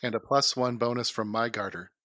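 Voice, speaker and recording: A man's voice: medium pitch, fairly even intonation, brisk, very noisy. A man playing in a tabletop game, bantering and joking in a casual way. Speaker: player in a tabletop game podcast.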